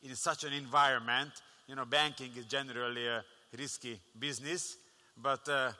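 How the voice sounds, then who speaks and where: Bank exec guy on a panel, very bright voice, measured with lots of restarts, big echoey conference hall. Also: medium pitch. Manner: measured, with frequent restarts and fillers. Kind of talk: panel discussion answer.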